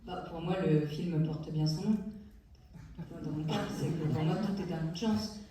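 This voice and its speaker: An actress speaking calmly on stage, her voice on the low side. She is heard from afar in a heavily echoing hall, with clear background noise. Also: moderate pace. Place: large cinema hall. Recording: echoey hall, far from mic, noisy.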